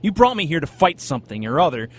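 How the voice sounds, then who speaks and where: A man's voice: on the high side, sing-song, fast talker, slightly rough. An actor playing a blunt, flustered everyman dragged into a fantasy audio drama. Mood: angry defiance. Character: brash, exasperated everyman pulled into fantasy realm.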